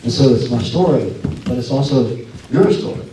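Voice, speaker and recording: A man with a scratchy voice giving a folksy, earnest talk at a church and answering questions, halting often with fillers and restarts. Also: medium pitch. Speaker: guest speaker. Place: church sanctuary. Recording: echoey hall from far off.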